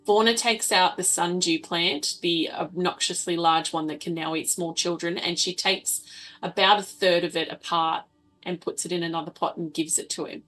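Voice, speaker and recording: Female tabletop player, casual delivery, a little low in pitch with a bright, forceful tone. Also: steady tone, moderate pace, faint music behind, poor audio. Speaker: player in a tabletop roleplaying game. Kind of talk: casual in-game talk.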